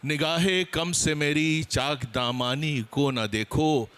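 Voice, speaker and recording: Male seminar panelist, slightly high voice, explanatory address to an audience. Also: slow, a bit bright, clean tone.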